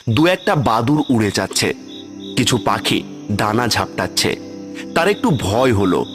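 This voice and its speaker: A man narrating a scripted horror story as its storyteller. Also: medium pitch. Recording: music bed under the voice. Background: music.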